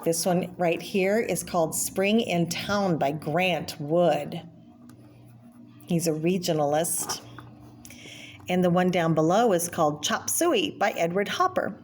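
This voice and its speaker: Lower-pitched woman reading aloud, noisy recording. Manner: explanatory, pausing to name and comment on pictures. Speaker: read-aloud presenter for children. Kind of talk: reading a picture book aloud with informal asides.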